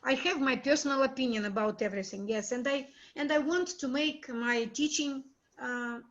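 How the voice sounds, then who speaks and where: A high-voiced woman teacher who speaks as a talks earnestly, searching for her words. The audio is dull, like an online call. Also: normal speed. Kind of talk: casual discussion talk.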